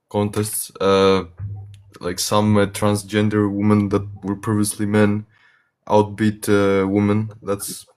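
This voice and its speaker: An eighteen-year-old man, not an English speaker, calling in to a debate and speaking haltingly with many uhs. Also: lowish voice, smooth. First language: Greek. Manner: halting, hesitant, many uhs, apologetic about his English. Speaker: caller. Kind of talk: casual call-in debate.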